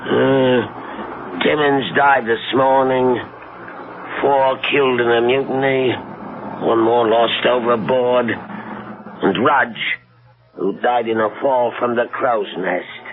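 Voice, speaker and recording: Slow-talking, worn-out ship's cook. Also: male voice, medium pitch, fairly even intonation. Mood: weary, deferential. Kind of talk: old-time radio mystery acting. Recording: muffled, noisy old radio recording.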